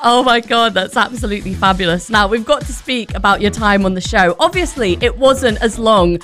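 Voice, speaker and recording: A woman's voice: medium pitch, brisk, a bit bright, faint music behind. A woman from London, a radio producer, interviewing in a bubbly, effusive way.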